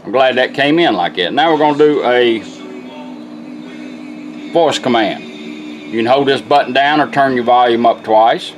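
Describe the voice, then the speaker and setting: A man's voice: medium pitch, unhurried, pauses often, music in the background, low-quality recording. A man giving a casual, plain-spoken, step-by-step hands-on tutorial. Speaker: product demonstrator, radio restorer. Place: indoor workbench.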